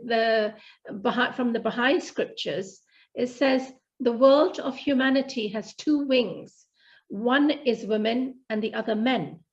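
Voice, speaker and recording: A woman speaking as a radio panel guest, measured and deliberate, explaining and reciting quotations. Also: a little high. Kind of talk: explanatory talk with read-out quotations. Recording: dull lo-fi sound.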